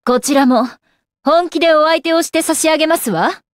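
Woman voicing elegant goddess heroine, anime game, fairly high pitch, poised formal diction, proud and composed, dry studio sound. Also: normal speed, no echo, close to an everyday voice. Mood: confident, stern. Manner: refined ladylike formal speech, poised. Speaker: voice actress. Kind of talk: Japanese anime game character acting. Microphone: close dry studio microphone.

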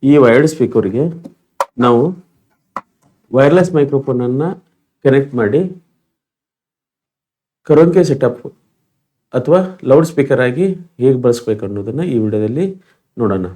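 A man's voice: medium pitch, expressive, normal speed, pauses often, warm tone. A man presenting an informal step-by-step tutorial demonstration.

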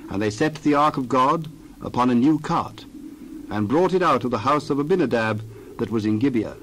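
A man narrating a dramatized Bible passage in a steady reading voice. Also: medium pitch, normal speed, slight background noise, poor audio. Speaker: scripture reader.

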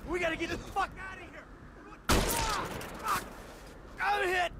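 A man's voice: very high-pitched, a little slow, heavy background noise. An actor playing a cool-headed, commanding male heist leader with a dry manner in a video game cutscene. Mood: urgent.

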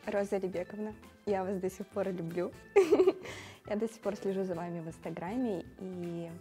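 An eighteen-year-old actress speaking expressively in a studio interview, with a lapel mic. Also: female voice, mid-range voice, moderate pace, dark tone, soft background music. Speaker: actress, show participant. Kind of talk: casual interview. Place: studio with grey backdrop.

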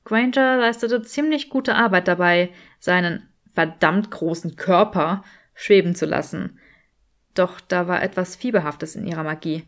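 A woman reading a story as an audiobook narrator, in an expressive scripted style. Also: fairly low pitch, measured pace.

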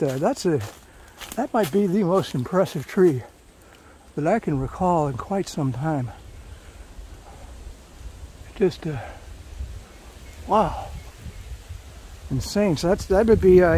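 A middle-aged man filming himself on a woodland hike, his voice a touch high but mellow and soft-edged. He talks in a loose, folksy ramble, full of fillers and false starts. Faint insect buzz hums in the background outdoors. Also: slight background noise. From Florida. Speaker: hiking vlogger. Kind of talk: casual hiking commentary. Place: outdoors on a forest trail.

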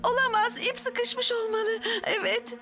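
A woman playing an earnest, capable young female firefighter in an animated series, with expressive delivery. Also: extremely high pitch, unhurried. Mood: worried. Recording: loud music under the dialogue.

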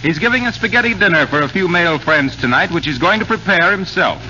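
High-voiced 1940s male radio announcer. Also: animated, fast talker, pushed and bright, slight background noise. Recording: dull 1940s radio recording. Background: orchestra music.

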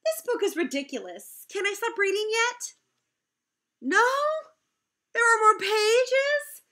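Woman acting a shrill, exasperated storybook grown-up. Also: big pitch swings, slow pace, bright, forceful tone, heavily acted. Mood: indignant disbelief. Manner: exaggerated, protesting, theatrical. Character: exasperated, dramatic grown-up forced to read silly words aloud. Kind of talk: animated children's picture book read-aloud.

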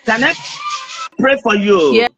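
A young man speaking as a prophet praying over someone on a video call, insistent, halting and repeating himself. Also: high voice, lively intonation, lo-fi sound, music in the background. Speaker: preacher, self-styled prophet. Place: small indoor room. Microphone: phone at arm's length on video call.

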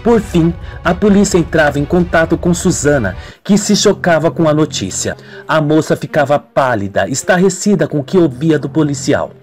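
A man's voice: on the high side, lively intonation, moderate pace, a little mellow. A man narrating a scripted radio soap opera as a dramatic storyteller. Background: music.

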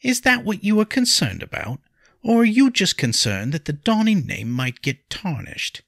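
Casting: bitter, scornful peasant wife read by a male narrator, mousy but defiant, lively pitch swings, storybook drama. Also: moderate pace, creaky, husky, no echo, studio quality, heavily acted. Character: short chubby bitter serf woman, scornful and defiant.

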